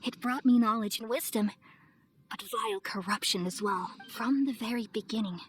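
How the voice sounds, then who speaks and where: A woman playing a serene, wise and motherly goddess in an anime-style video game, speaking gently and solemnly. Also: a little high, moderate pace, slight background noise, close to an everyday voice. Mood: reflective, solemn. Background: music.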